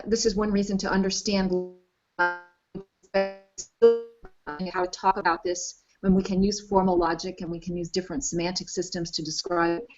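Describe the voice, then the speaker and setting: A woman discussing languages as an expert guest, enthusiastic and thinking aloud with frequent restarts. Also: lowish voice. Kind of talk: casual discussion. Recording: dull lo-fi live stream audio.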